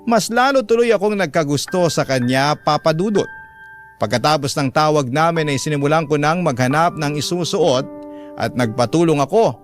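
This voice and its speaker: A man's voice: on the high side, moderate pace, faint music behind. A man with a Filipino accent narrating a love story in a scripted, emotional radio-drama style.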